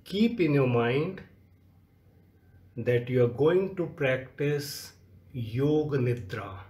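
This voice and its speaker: A man leading a guided relaxation meditation as a yoga nidra instructor, giving measured instructions in short phrases with long pauses. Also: medium pitch, animated, warm tone. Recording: echoey, roomy sound.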